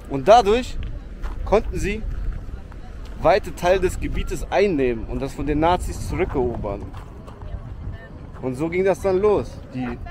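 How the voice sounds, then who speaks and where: A young man filming himself at arm's length outdoors by a beach, chatting excitedly and in slang to his viewers as a travel vlogger. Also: a little high, slight background noise. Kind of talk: casual travel vlog. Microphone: handheld camera at arm's length.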